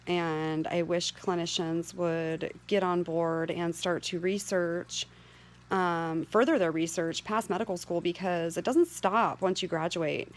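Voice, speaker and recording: A middle-aged woman with a hoarse voice, giving earnest, candid personal testimony to camera as a patient advocate. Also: fairly low pitch, steady tone, measured pace. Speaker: patient advocate sharing her medical story.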